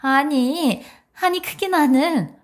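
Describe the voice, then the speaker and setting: A woman's voice: high voice, slow pace. A woman playing a brazen, indignant older mother-in-law in a dramatized story, arguing with haughty scorn. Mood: dismissive. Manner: scornful, argumentative.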